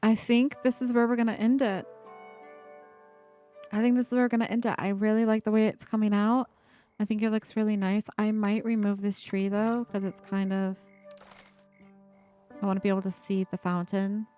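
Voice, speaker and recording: A woman over twenty-five chatting casually on a live stream, with a breathy, giggly laugh and lots of fillers. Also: medium pitch, little pitch movement, normal speed, long pauses, warm tone, faint music behind.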